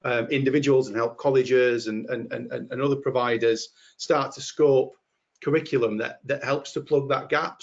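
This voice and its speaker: A man's voice: mid-range voice, lo-fi sound. A man with a Yorkshire accent answering earnestly on a webinar panel, often repeating words as he thinks. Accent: Yorkshire English.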